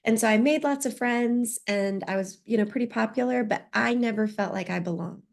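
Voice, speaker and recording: A woman's voice: on the high side, a little fast, vocal fry. A woman in her thirties or forties, a podcast guest telling her life story openly and reflectively, with frequent restarts. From United States. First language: Spanish. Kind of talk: personal story in an interview.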